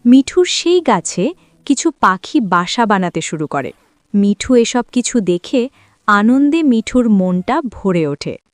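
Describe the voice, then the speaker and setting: Lady narrator for a kids cartoon, mid pitch, dry studio-like sound. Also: big pitch swings, takes it slowly, no echo. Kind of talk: scripted children's story narration.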